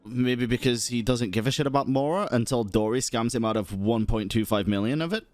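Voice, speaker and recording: A man's voice: mid-range voice, fairly quick, darkish tone. A man streaming a video game with casual, slangy, sweary off-the-cuff commentary, faint game music behind him.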